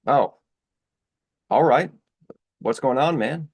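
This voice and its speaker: A man's voice: medium pitch, takes it slowly, creaky. A man playing an apologetic, concerned friend in a staged play reading, speaking mildly and tentatively.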